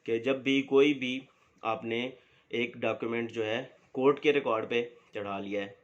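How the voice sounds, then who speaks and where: A man lecturing on law. He explains step by step, asks a question and then answers it himself. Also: lowish voice. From Pakistan. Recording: dull lo-fi recording.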